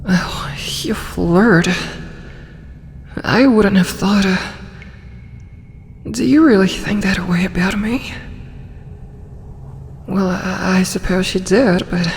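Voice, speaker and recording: A woman voicing a proud female dragon who turns shy and flustered in a hesitant ASMR roleplay, often slipping into breathy whispers. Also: medium pitch, slow pace, long pauses, long reverb tail, a little hiss or hum. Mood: giddy, shy, then flustered, surprised.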